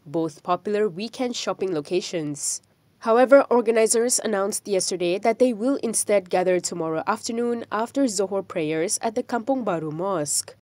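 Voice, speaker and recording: A woman presenting the news in a scripted voice-over, with a measured, even delivery. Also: medium pitch, no echo. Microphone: studio microphone.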